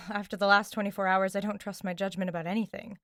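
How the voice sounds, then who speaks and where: An actress plays a young American woman in an audio drama, a skeptical friend who probes with dry, sardonic directness. Her voice is medium in pitch and conversational, in a close recording with almost no room sound. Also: level tone, fast, dry recording, lightly acted. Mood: probing, skeptical. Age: young adult. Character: wry, probing young woman, skeptical but loyal friend. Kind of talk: audio drama acting, naturalistic dialogue.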